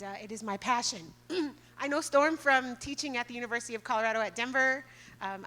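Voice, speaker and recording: A woman, a radio marketing executive, introducing herself on a workshop panel in a chatty, lively way over a handheld mic. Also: on the high side. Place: workshop room with a live audience.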